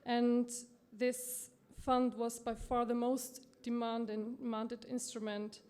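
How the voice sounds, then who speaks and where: Austrian woman presenting, halting delivery. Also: on the high side, little pitch movement, darkish tone, clean tone. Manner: halting, many fillers and self-corrections. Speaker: conference speaker from a promotional bank. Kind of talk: conference presentation.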